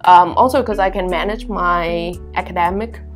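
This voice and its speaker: A woman's voice: fairly low pitch, moderate pace, soft background music. A teacher speaking English in a casual interview, chatty and self-deprecating, laughing often as she talks. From Vietnam. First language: Vietnamese. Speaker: teacher and guest.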